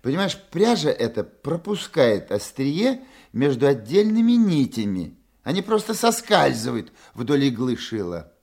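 An actor playing an authoritative older police colonel in a radio drama, explaining firmly and with a slightly wry edge. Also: male voice, medium pitch, very animated, measured pace, vocal fry, hardly any pauses, darkish tone, full character voice. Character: authoritative veteran male police colonel, exacting boss. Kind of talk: Russian radio drama acting.

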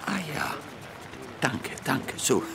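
An actor in a German radio play as a genteel old merchant known as the Colonel, courteous, old-fashioned and a little hesitant. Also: male voice, takes it slowly, very noisy, close to an everyday voice. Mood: nostalgic, courteous. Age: older.

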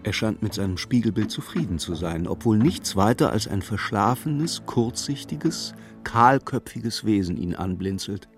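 A man's voice: fairly low pitch, expressive, moderate pace, hardly any pauses. A man narrating a radio play in scripted, literary present-tense prose. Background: music.

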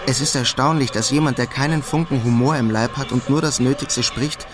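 A man's voice: medium pitch, fairly quick, lightly acted. An actor playing a wry, streetwise German immigrant in Queens, narrating a crime radio drama in a laconic, dry-humored way. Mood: wry, reflective.

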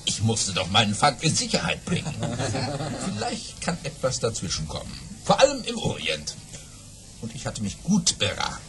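An actor playing a boastful baron who spins tall tales with dramatic pauses and exclamations in an audio play. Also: male voice, mid-range voice, wildly swooping pitch. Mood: gleeful boasting. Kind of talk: German audio-play storytelling.

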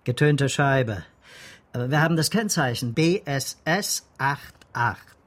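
An actor playing a dry, wry, middle-aged veteran police detective in a radio drama, with a husky voice. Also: male voice, mid-range voice, lively intonation, unhurried, a bit bright. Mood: dry, knowing. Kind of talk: naturalistic radio play dialogue.

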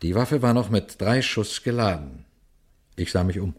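An actor playing a sober, watchful police detective, telling his case in a measured, formal radio crime drama style. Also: lowish voice, expressive, slightly rough. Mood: tense, observant. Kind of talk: radio crime drama, suspenseful first-person narration and dialogue.

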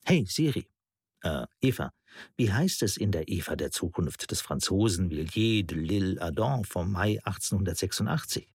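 A man's voice: sits low, animated, moderate pace, a little mellow, slightly rough, no room sound, studio quality. A man reading a scripted literary radio essay as its narrator.

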